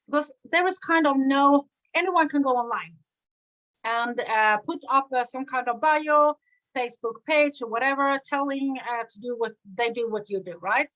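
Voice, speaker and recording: A middle-aged woman from Sweden with a Swedish accent, a coach giving an earnest, energetic live-streamed talk with many "right?" checks. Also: high-pitched, rough recording. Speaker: online business coach.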